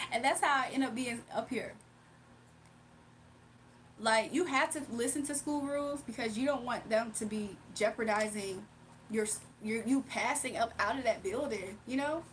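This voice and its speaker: A woman's voice: on the high side, moderate pace, slight background noise. A young woman streaming live, chatting casually with her viewers, laughing often and reading out chat messages.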